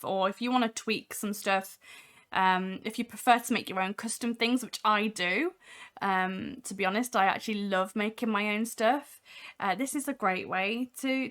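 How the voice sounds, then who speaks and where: A young woman hosting a casual software demo livestream, talking from home with a voice made husky by a cold, chatty with many ums. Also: mid-range voice. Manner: chatty, many ums, reads chat aloud. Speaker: livestream host.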